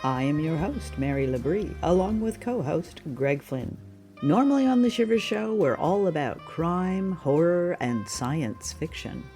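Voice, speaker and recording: A woman's voice: low voice, expressive, measured pace, dark tone. Female host introducing a show. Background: faint music.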